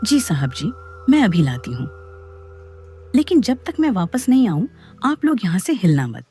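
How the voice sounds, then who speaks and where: A woman's voice: medium pitch, big pitch swings, normal speed, dark tone, faint music behind, lightly acted. A woman playing an eerie spirit posing as a deferential, insistent village girl in a horror audio story. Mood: polite, insistent.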